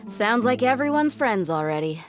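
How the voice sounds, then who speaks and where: A woman's voice: fairly high pitch, sing-song, normal speed. A woman playing a confident, worldly mercenary in a video game, casual and self-assured. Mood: breezy. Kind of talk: video game dub acting. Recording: music behind the voice.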